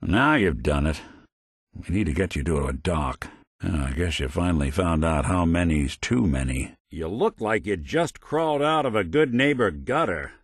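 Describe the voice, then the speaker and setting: An old synthetic male detective from a noir-flavored video game, American and world-weary. The voice sits on the low side but moves with lively, expressive swings. He wisecracks in a hard-boiled, sarcastic drawl, recorded very dry with no room around it. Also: moderate pace, breathy edge, no echo, heavily acted. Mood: concerned, alert.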